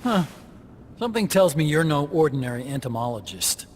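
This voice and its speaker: Earnest, higher-voiced weapons scientist. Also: male voice, sing-song, slight background noise. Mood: wary, curious. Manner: explanatory, dramatic pauses. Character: talkative, earnest male weapons scientist, nerdy and intense. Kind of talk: video game cutscene acting, expository. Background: music.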